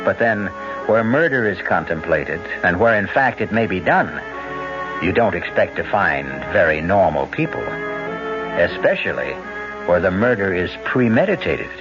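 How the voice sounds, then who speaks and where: Male host of an old radio mystery, measured and ominous, dull broadcast with music. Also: deep voice, very animated. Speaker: host narrator. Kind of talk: scripted narration.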